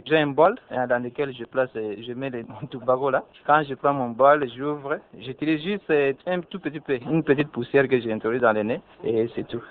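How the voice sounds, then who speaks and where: A young man, explaining in a hesitant, halting way. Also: medium pitch, slight background noise. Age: young adult. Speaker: interviewee. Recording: narrow phone-like band.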